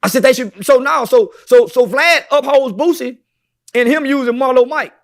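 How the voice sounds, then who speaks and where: A man with a strikingly high voice holds forth as a podcast guest. He is brash and boastful, preaching about himself with constant swearing. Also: moderate pace.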